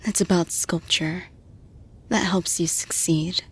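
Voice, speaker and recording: A woman playing a composed, supportive female boss in an intimate roleplay audio, speaking to the listener in a measured, reassuring, soft manner. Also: on the low side, fairly even intonation, slightly rough, whispered, exaggerated voice. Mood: calm, reassuring.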